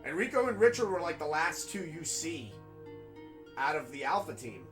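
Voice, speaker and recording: Raspy, nasal New York man. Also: fairly high pitch, very animated, moderate pace, over music. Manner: rambling, chatty, full of fillers. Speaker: game streamer. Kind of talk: casual gameplay commentary.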